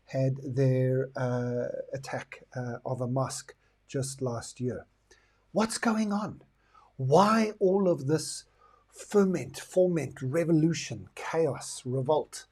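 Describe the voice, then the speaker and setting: A man's voice: fairly high pitch, mellow, slightly rough. A middle-aged South African man hosting a talk, explaining his views in a reflective way. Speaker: host. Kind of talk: talk to camera on current affairs and the future.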